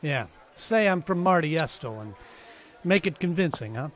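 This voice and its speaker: A man's voice: a little high, expressive, moderate pace, a bit bright. A man playing a wisecracking, dryly sardonic private eye in an old-time radio detective play. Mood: wry, brash. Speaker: actor in live radio play, also narrating.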